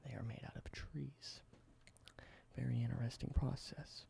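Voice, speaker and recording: A man doing a whispered ASMR roleplay, explaining things slowly and correcting himself now and then. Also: medium pitch, steady tone, gravelly. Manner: soft whisper, slow explanations with small self-corrections. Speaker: ASMR creator.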